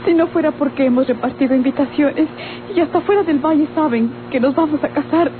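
Actress as a high-voiced, sorrowful Mexican bride-to-be. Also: female voice, fairly quick, close to an everyday voice. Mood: tearful. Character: sorrowful, resigned young bride-to-be, gentle. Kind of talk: old Mexican radio drama acting. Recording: tinny, noisy old radio drama recording.

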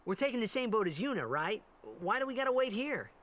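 An actor playing an earnest, restless young athlete hero in a video game, boyish and a little hesitant. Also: male voice, high-pitched, sing-song, fast talker, fairly bright tone. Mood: eager, puzzled. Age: young adult. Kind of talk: video game cutscene acting.

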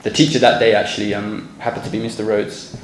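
A young man, a former student, giving a measured, sincere speech from a podium on a school stage, with some hesitant restarts. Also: on the low side, level tone. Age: young adult. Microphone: podium microphone. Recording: echoey hall, faint background noise.

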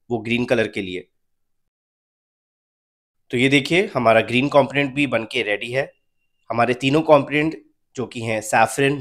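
A young man calmly narrating a home cooking recipe step by step as the channel's host. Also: mid-range voice. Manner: calm, step-by-step instructional.

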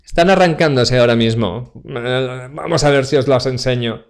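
A man's voice: mid-range voice, normal speed. Chatty man giving a live tech talk. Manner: chatty and joking, speaks straight to the audience. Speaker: cloud computing speaker. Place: home office, indoors. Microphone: webcam video call.

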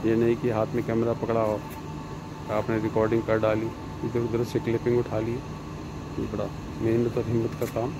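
A man's voice: lowish voice, normal speed. A man with a Delhi Hindi accent, hosting his channel, gives casual on-the-spot commentary while filming a roadside send-off on a phone. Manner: plain, flat-toned narration, repeats phrases. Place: outdoors by a road, traffic around. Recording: loud traffic and engine noise. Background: idling vehicle engines.

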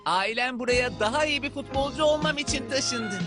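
An actor plays an eager, friendly boy of about twelve in a dubbed cartoon. The voice is high and earnest, with music playing clearly behind it. The delivery is cheerful and open. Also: moderate pace, close to an everyday voice. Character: friendly, eager sixth-grade boy football player.

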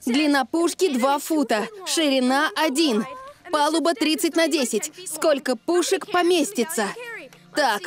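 A young cartoon schoolchild, grumbling yet game. The voice is high and childlike, with faint music behind. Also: female voice, unhurried. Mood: focused. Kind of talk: cartoon dub acting.

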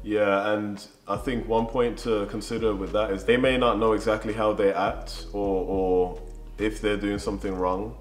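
Low-voiced young man giving advice. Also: flat delivery, moderate pace. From Birmingham, England. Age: young adult. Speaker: co-host of a relationship advice vlog. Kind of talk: casual advice talk to camera. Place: living room, on a sofa. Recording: echoey room. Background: music.

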